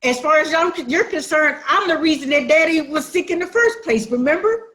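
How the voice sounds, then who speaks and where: A woman's voice: high-pitched, expressive, fairly quick, fairly bright tone. A woman acting a hurt, defiant, sassy sister who makes emphatic accusations in a dramatic play reading. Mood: accusing, hurt. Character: wounded, defiant younger-minded sister confronting her sibling.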